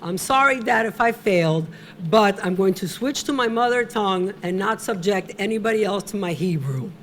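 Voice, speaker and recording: Middle-aged woman, award presenter, prepared tribute speech, slow and emotional, podium mic, faint room noise. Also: medium pitch, animated.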